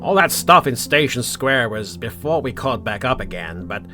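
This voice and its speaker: Guy as a friendly croc, playful n fond, very animated, forceful bright voice, audio drama. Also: medium pitch, moderate pace, soft background music.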